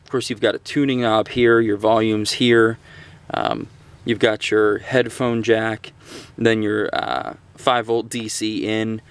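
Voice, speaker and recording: A man with a fairly low voice gives a casual product review. He speaks conversationally and off the cuff, pausing and restarting as he goes. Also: level tone, smooth. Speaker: gadget reviewer, host of a radio channel.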